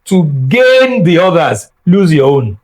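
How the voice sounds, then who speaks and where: A man's voice: high-pitched, big pitch swings, slow pace, a little mellow. Emphatic older Nigerian man, lecturing. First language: Yoruba. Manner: emphatic and lecturing, repeats words for stress. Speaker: guest scholar. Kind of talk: reflective interview talk.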